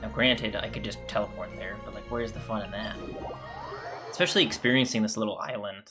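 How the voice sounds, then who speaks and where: A man's voice: on the low side, fairly quick. A man giving casual, rambling let's play commentary as a gameplay streamer, with game music behind him.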